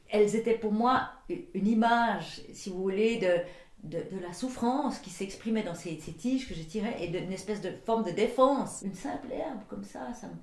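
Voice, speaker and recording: A woman in her sixties, from Belgium, speaking as a visual artist in a portrait interview, thoughtful and searching for words, her sentences often trailing off softly. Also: medium pitch, reverberant. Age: 60s. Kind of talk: reflective documentary portrait interview.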